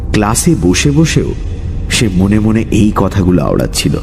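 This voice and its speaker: A man narrating a scripted horror story in a dramatic, suspenseful way, with deliberate pauses. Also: on the low side, wildly swooping pitch, gravelly, darkish tone, faint music behind.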